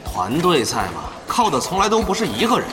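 High-voiced, reverberant esports pundit. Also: male voice, huge pitch swings, brisk, over music. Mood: thoughtful. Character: measured male esports pundit, explanatory and thoughtful. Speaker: guest analyst. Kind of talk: sports analysis in an audio drama.

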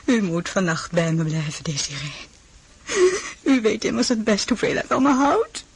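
A woman's voice: mid-range voice, big pitch swings, moderate pace, breathy edge, exaggerated voice. An actress playing a weeping, abandoned empress in a radio drama, tearful and clinging, drawing out her words. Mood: desperate, tearful. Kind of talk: Dutch radio drama acting.

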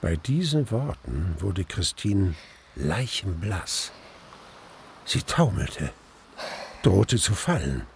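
Slow, creaky-voiced brooding gentleman narrator. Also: low-pitched, very animated, a little hiss or hum, heavily acted. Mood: grave. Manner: measured, reflective. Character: brooding, lovesick young gentleman recalling his past. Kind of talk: first-person narration in a German radio play.

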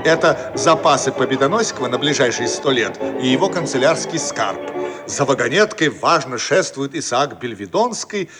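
A man's voice: medium pitch, expressive, normal speed. A man narrating stage directions for a radio play in a scripted, theatrical storytelling style. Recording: archival radio play, loud music behind. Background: loud music.

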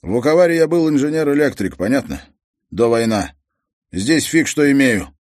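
A man's voice: mid-range voice, animated, moderate pace, darkish tone, no room sound, clean studio sound, pitched above the performer's own voice, close to an everyday voice. A man voicing a weary immigrant father with a Croatian accent who speaks in broken, insistent sentences. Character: immigrant father, former engineer, blunt and weary.

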